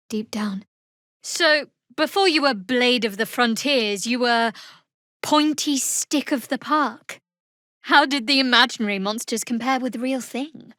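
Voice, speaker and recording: An actress playing a guarded, sardonic young cleric of a dark goddess in a video game, with a dry, wry delivery. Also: female voice, fairly high pitch, expressive, a little slow, no room sound. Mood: soft, sincere. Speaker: game character.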